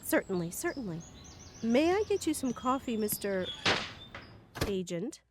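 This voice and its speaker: A woman playing a nervous, fussily polite middle-aged mother in an audio drama, flustered and halting. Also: fairly high pitch, big pitch swings, heavy background noise. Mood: flustered, wary. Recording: faint outdoor ambience with birdsong.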